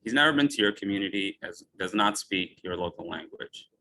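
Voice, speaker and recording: A man from Ethiopia, a physician, giving a lecture and framing it with a personal story in a measured way. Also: lowish voice, expressive, creaky, pushed and bright, a little hiss or hum, low-quality recording. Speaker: physician speaker. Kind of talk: lecture talk.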